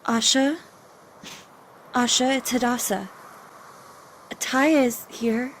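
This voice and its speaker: An actress playing a protective, steadfast young woman urging a weary companion on in an audio drama, with gentle reassurance. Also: a little high, steady tone, slow, slight background noise, poor audio. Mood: urgent, encouraging. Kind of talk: cinematic audio drama acting.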